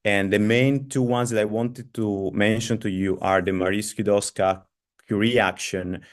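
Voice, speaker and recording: A man with a fairly low voice hosts an online seminar over a video call. He hesitates often, apologizes, and fills his pauses with drawn-out sounds. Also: steady tone. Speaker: seminar host.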